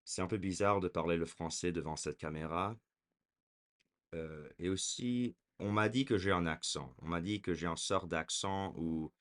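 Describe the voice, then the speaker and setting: A man's voice: low-pitched, fairly bright tone. A man in his twenties talking casually to a camera, hesitant, with frequent fillers and restarts. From raised in America. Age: 20s. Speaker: vlogger.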